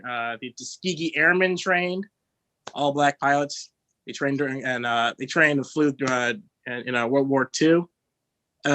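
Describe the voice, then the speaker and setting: A young man from Texas doing a stand-up set, halting and filled with "uh" and "um". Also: on the high side, a bit bright, no echo. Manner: halting delivery with many fillers. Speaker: stand-up comedian.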